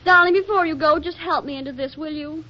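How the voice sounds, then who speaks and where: An actress playing a chatty, playful and insistent young wife in an arch, emphatic 1940s radio comedy style. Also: female voice, high voice, quick pace, lightly acted. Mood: bustling, excited. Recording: muffled, noisy 1940s radio recording.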